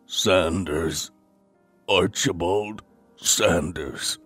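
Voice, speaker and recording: A man playing a weak, starving, wary recluse in an audio drama, speaking feebly and haltingly. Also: low voice, a bit bright, breathy edge, faint music behind, full character voice. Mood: weak, resigned.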